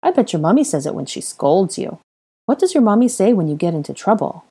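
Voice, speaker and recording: A woman's voice: medium pitch, very animated, a little fast, warm tone, breathy edge, voice pushed up. A woman voicing a kindly, motherly older woman in a story, patiently coaxing a small child. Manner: kind, coaxing.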